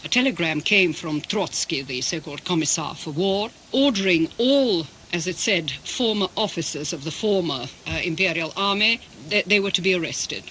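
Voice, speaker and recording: A woman's voice: on the low side, expressive, a bit bright, low-quality recording. Articulate older woman, faint hiss. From Britain. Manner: measured, articulate, with careful restarts. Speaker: interviewee, interpreter. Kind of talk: reflective radio interview storytelling.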